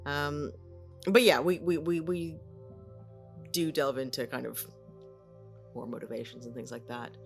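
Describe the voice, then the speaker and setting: A woman with a low-pitched voice chats informally as a podcast co-host. She talks like an actress looking back on a production. Faint music sits behind her speech. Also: steady tone, measured pace, long pauses. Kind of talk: casual behind-the-scenes podcast chat.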